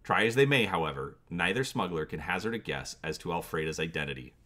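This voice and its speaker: A man's voice: low voice, animated, fast, bright, forceful tone. A man hosting his channel, giving a dramatic reading of an adventure gamebook and inviting viewers to comment on choices.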